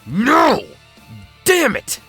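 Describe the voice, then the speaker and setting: A man's voice: very high voice, wildly swooping pitch, drawn-out words, pushed and bright, soft background music, full character voice. A man playing an urgent young swordsman in a fantasy game audiobook. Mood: desperate. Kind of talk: audiobook character acting.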